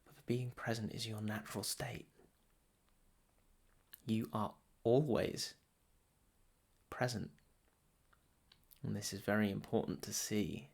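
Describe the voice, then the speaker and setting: A man leading a guided meditation as a teacher, speaking soothingly and deliberately in short phrases with long pauses between them. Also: fairly low pitch, steady tone, vocal fry, darkish tone, breathy edge.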